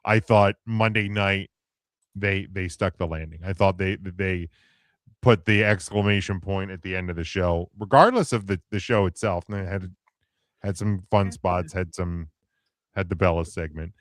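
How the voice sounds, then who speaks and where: A man with a fairly low voice, hosting a loose podcast chat. He talks haltingly, stopping and restarting with lots of fillers. The sound is dry and close. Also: no echo. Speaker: podcast host. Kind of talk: casual wrestling podcast chat.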